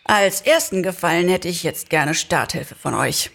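A woman voicing a slight, excitable man freed from a spell in an audio-play reading, exclaiming and cheeky. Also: fairly low pitch, normal speed, gravelly, exaggerated voice. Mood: cocky, demanding. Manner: overjoyed exclaiming, cheeky and self-assured.

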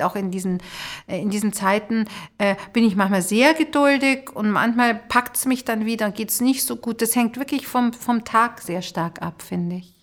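A woman's voice: mid-range voice, fairly bright tone. A middle-aged woman hosting a podcast in casual conversation, often searching for words and trailing off. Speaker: podcast host.

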